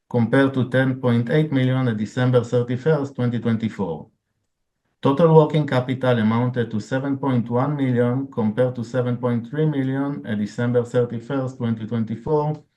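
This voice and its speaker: A man's voice: mid-range voice, mellow. A man speaking as a finance chief, formally reading out figures from a scripted financial report on an earnings call. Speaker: chief financial officer presenting results.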